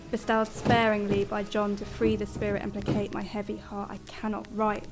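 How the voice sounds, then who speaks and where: An actress plays an anguished, literary young woman narrating a radio drama in solemn, ornate phrases. Her pitch sits in the middle and stays fairly even. Faint music runs underneath. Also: lightly acted. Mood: melancholy, resentful. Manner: literary, solemn, formal diction.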